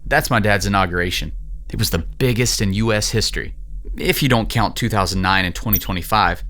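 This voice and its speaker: A man's voice: lowish voice, brisk, sharp tone. An actor playing a flippant, evasive young man, a cocky president's son, in an audio drama. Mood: breezy, busy.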